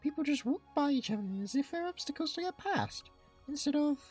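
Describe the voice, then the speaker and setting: A sweet young country girl from a dating game, speaks in a very high, girlish pitch. She is cheerful and bubbly, with an exaggerated cutesy delivery. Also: expressive, a little fast, darkish tone, soft background music. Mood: wistful, earnest. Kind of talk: visual novel character read aloud.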